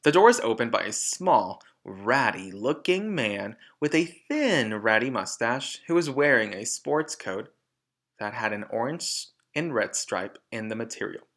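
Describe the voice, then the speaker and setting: A man reading a children's storybook aloud in an expressive narrating style. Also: mid-range voice, unhurried. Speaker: storybook reader.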